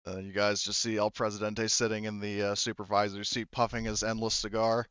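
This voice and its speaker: Low, smooth-voiced man running a game. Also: level tone, fairly quick, a bit bright, no echo, highs cut off. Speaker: game master. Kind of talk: running a tabletop roleplaying game, conversational explaining.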